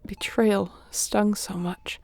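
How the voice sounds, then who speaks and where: A woman playing a battle-weary spaceship captain in an intimate audio roleplay, speaking tenderly and guardedly, with a hushed, halting, earnest delivery. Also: medium pitch, level tone, gravelly. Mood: confessional, wistful.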